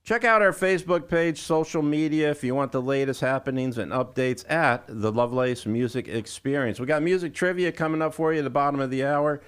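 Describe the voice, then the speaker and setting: A man's voice: mid-range voice, fairly quick, few pauses, smooth. A man hosting a music radio show, chatting informally with listeners and guests with a showman's patter. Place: radio studio.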